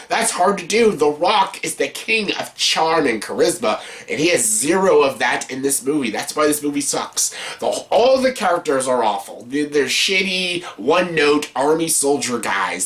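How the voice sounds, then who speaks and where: A man's voice: fairly high pitch, animated, moderate pace, hardly any pauses, a bit bright. A man hosting a casual ranked-list movie review, blunt, profane and exasperated, repeating himself for emphasis. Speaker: video host, amateur movie reviewer.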